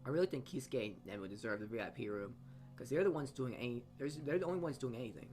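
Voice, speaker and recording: A man's voice: medium pitch, expressive, fast talker, a little mellow. A man reading a visual novel's narration aloud as a let's-player, often stumbling and rereading phrases.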